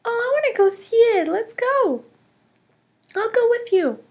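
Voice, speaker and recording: An excitable little girl, voiced by a woman pipes up with high, eager questions in a toy play story. She sounds keen and quick to join in. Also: full character voice. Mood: excited.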